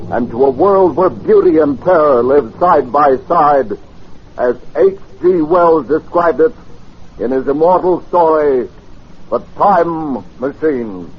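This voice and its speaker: A man announcing a 1940s radio drama with urgent, theatrical narration. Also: a little high. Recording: muffled, hissy old radio recording.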